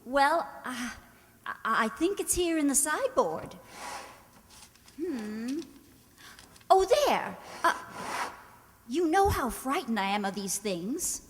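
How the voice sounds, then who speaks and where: Radio drama: female actor as devoted, fretful wife, hesitant and sweet, very high and animated, in a reverberant hall. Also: takes it slowly, pauses often, a little hiss or hum, heavily acted. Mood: nervous. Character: affectionate, nervous wife of a secretive husband. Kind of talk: radio play acting. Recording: echoey hall, stage radio play.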